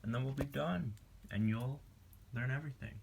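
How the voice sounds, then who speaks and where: A man's voice: mid-range voice, creaky, background noise. A teenage boy casually talking a classmate through a math lesson, offhand and joking as he writes on paper. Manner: slow, offhand and jokey, talking while writing and snacking. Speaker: student tutoring a classmate. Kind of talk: casual homemade math walkthrough. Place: indoor room at home.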